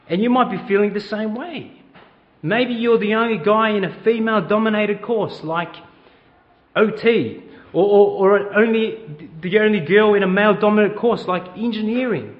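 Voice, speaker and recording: A man's voice: high voice, muffled recording. A middle-aged man with an Australian accent, preaching a conversational sermon in church and asking the congregation questions. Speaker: pastor.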